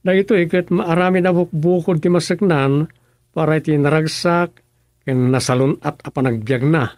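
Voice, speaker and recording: A male actor plays a busy rural councilman with an Ilocano accent in a radio drama. His voice sits a little high for a man and sounds very dry. He talks casually and easily, peppered with interjections. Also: normal speed, a little mellow, no room sound, close to an everyday voice. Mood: earnest, advising. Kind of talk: radio serial drama acting.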